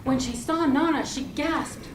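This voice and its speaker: A woman's voice: high voice, unhurried. Woman storyteller in a noisy, echoey room. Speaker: club speaker giving a tall tale speech. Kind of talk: rehearsed storytelling speech to an audience. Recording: roomy, distant mic with loud noise.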